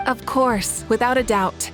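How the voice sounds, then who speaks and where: A woman playing a confident, composed young female leader with a playfully wry, measured manner in an anime-style game. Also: a little high, fairly bright tone, soft background music. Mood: composed, reflective.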